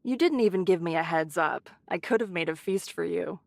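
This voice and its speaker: A woman voicing a caring, brisk shelter leader who scolds in a motherly, teasing way, in an English anime game. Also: fairly low pitch, gravelly, a little mellow, no echo. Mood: teasing, scolding.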